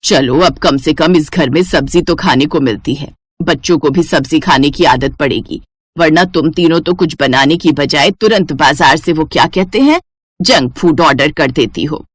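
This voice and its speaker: A woman's voice: low-pitched, moderate pace, breathy edge, dry recording, studio quality, pitched below the performer's own voice. A woman voicing a stern, grumbling old mother-in-law in a scolding manner for a cartoon moral story. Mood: chiding. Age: older. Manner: scolding, complaining.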